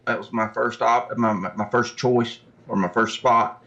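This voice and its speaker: Gravelly, bright-voiced man, casual interview guest. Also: mid-range voice, steady tone, moderate pace, muffled recording. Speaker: podcast guest, tournament kayak angler.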